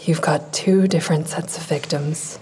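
A woman playing a weary, guarded, traumatized woman in an audio drama, curt and sardonic, with a husky voice. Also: low-pitched, steady tone, moderate pace, darkish tone, a little hiss or hum, lightly acted, rough recording. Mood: weary, bitter. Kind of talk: full-cast audio drama acting.

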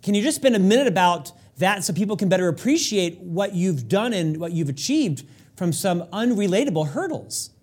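Male interview host, effusive, asks long-winded questions, high-pitched. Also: big pitch swings, normal speed, a little mellow. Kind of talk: interview hosting.

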